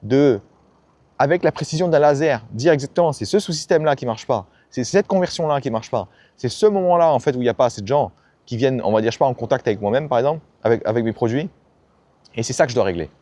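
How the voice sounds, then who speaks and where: A man's voice: mid-range voice, lively intonation, quick pace, mellow, no echo. A man giving a blunt, slangy motivational talk about ambition and failure, often acting out the people he quotes. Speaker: self-help YouTuber. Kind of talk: casual motivational monologue.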